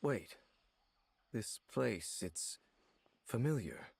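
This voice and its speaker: A man voicing a grave, stoic, ageless wanderer in a video game, speaking in a measured, serious, matter-of-fact way. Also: mid-range voice, expressive, creaky, darkish tone, slightly rough, dry recording, heavily acted. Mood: pensive. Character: grave, stoic centuries-old male wandering warrior, terse and watchful. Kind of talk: video game character dialogue.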